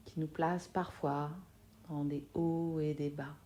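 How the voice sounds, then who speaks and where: A soft-spoken woman guiding a yoga nidra relaxation in a soothing manner, leaving long pauses between phrases. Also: sits low, level tone, a little hiss or hum. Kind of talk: guided meditation.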